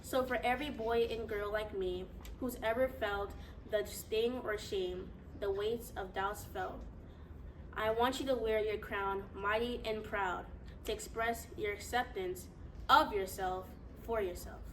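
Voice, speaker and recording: A woman's voice: mid-range voice, steady tone, measured pace, a bit bright. A woman performing spoken-word poetry with a proud, rhythmic, declamatory delivery. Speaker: poet. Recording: distant mic with faint noise.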